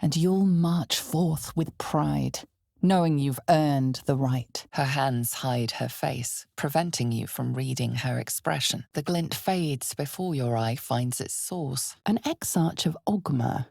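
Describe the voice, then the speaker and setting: Slow, low-voiced ominous fantasy game narrator. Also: female voice, darkish tone, no echo, clean studio sound, heavily acted. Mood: solemn. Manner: measured, dramatic delivery, often dropping to breathy near-whisper. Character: ominous, knowing female narrator of dark fantasy. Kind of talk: scripted second-person fantasy game narration.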